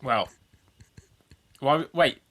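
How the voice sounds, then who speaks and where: British man with a bright voice, chatting. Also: mid-range voice, extremely slow. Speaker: podcast host. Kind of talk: casual comedic podcast chat. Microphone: remote internet call recording.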